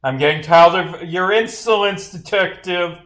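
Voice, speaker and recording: A man puts on a haughty video game stage medium under accusation. The voice jumps up high, imperious and indignant. It snaps out exclamations with no patience at all. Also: a little slow, a bit bright.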